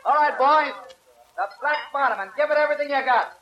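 An actor playing an eager, deferential nightclub bandleader in 1940s radio style. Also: male voice, very high voice, fairly quick, background noise. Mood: obliging, eager. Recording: muffled vintage radio, music behind.

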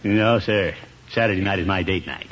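An actor playing a blustery, sociable middle-aged water commissioner in a 1950s radio comedy. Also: male voice, low-pitched, moderate pace, fairly bright tone. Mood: reluctant, agreeable. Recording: noisy, muffled old radio recording.

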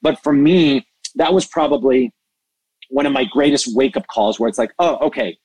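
Expressive, passionate man in a dry recording. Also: mid-range voice, normal speed, vocal fry, no echo, rough recording. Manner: expressive, passionate, self-described hyper. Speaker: interview guest, entertainment media executive and mentor. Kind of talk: animated interview conversation.

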